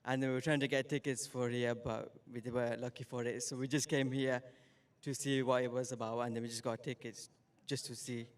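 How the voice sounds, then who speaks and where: A man's voice: mid-range voice, level tone, a little fast. A man answers questions about the match in a middling voice. He speaks casually, with hesitant fillers and a slightly unsure tone. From Blackburn, northwest England. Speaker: football fan.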